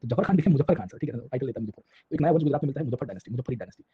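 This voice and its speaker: A man's voice: medium pitch, expressive, racing, slightly rough, lo-fi sound. A man with an Indian accent giving an informal, colloquial history lecture, mixing Hindi and English and often checking in with short tags addressed to his listeners as sir. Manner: colloquial, illustrating points with little acted anecdotes.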